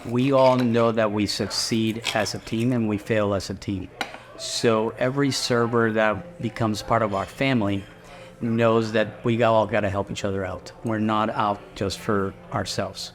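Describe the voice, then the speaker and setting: Man, middle-aged, from Guatemala, low-pitched, casual interview talk, rambling with fillers. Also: a little slow. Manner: relaxed, rambling with fillers and restarts. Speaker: restaurant owner.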